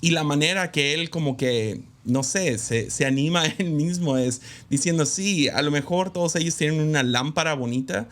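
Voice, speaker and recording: A man's voice: fairly high pitch, moderate pace, few pauses, a bit bright. A man speaking as a guest in an interview, reflective and candid, with many hesitant fillers. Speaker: guest, pastor's son and preacher. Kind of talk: conversational interview.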